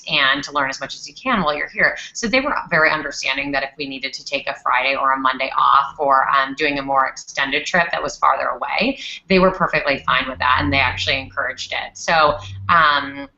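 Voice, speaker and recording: A young woman talking casually on a Q&A panel, relaxed with many fillers. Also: very deep voice, brisk, creaky. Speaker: panelist, former volunteer teacher. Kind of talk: Q&A panel talk. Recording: dull lo-fi recording.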